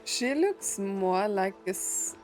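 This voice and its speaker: A woman's voice: mid-range voice, very animated, slow, darkish tone, soft background music, rough recording. A woman streamer giving casual commentary on a game playthrough, musing aloud and reacting in short asides. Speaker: gaming streamer.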